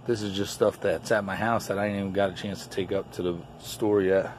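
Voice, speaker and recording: A man's voice: deep voice, flat delivery, brisk. A middle-aged man casually showing things off in short, folksy, offhand phrases. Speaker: toy collector and store owner hosting a vlog. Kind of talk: casual handheld show-and-tell commentary. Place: outdoors in a backyard and cluttered storage shed. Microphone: handheld phone behind the camera. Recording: clearly noisy outdoor phone recording.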